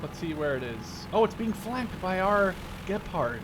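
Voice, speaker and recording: A man's voice: high-pitched, big pitch swings, a little slow, noisy background. A man in his twenties giving casual, joking, slangy live gameplay commentary as a gaming video host. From Canada. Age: 20s. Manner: self-deprecating, joking, slangy. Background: game vehicle sounds.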